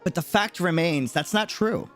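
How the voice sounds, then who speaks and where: A man's voice: sits high, expressive, moderate pace, faint music behind. A man giving casual livestream commentary on a game, talking earnestly and conversationally, explaining things by example.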